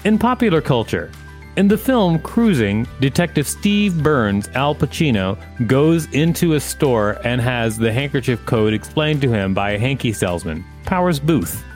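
A man with a mid-pitched voice co-hosts a podcast. He reads reference passages aloud and chats casually around them. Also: sing-song, normal speed, soft background music. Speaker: podcast co-host reading wiki articles. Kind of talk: casual banter mixed with reading aloud.

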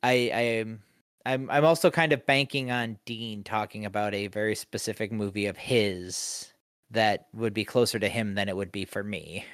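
A man co-hosting a podcast in casual chat, dry and halting with many restarts. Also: fairly low pitch, steady tone, a bit bright, no echo. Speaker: podcast co-host.

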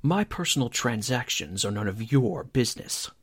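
An actor playing a defensive, irritable show producer in 1940s radio drama style. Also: male voice, medium pitch, expressive, normal speed, breathy edge. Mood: offended.

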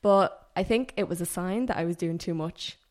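A woman's voice: fairly low pitch, moderate pace, a little mellow. Chatty young woman. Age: 20s. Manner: chatty, rambling, self-correcting with laughs. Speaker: podcast guest, personal trainer. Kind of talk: casual chat.

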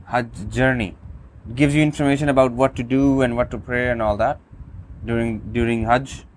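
A man whose first language is Urdu, calmly demonstrating a phone app, with many fillers and restarts. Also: mid-range voice, moderate pace, slight background noise. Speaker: app reviewer.

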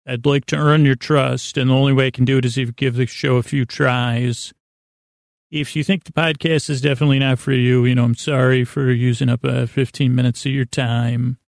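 A man with a creaky voice hosting a sleep podcast, talking in a meandering, halting monologue that circles back and repeats itself to lull listeners to sleep. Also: mid-range voice, level tone, no room sound, studio quality. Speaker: host of a bedtime-story sleep podcast.

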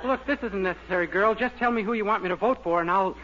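A young man starring in a radio comedy, with boyish, goofy, excitable delivery. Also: sits high, fast talker, a loud crowd. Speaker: star of a radio sitcom. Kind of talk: 1940s radio comedy acting. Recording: dull old radio recording with hiss.

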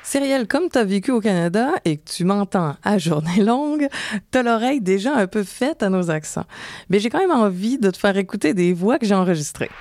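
A woman from Montreal with a Québécois accent, co-hosting a travel podcast in a lively, folksy way. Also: mid-range voice, brisk. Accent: Québécois French. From Montreal, Quebec. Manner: lively, folksy Quebec expressions. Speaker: podcast co-host. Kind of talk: scripted travel podcast talk.

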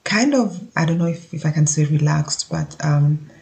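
A man with a Kenyan accent explaining things informally as a solo podcast host, haltingly, as if thinking aloud. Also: a little high, creaky, darkish tone.

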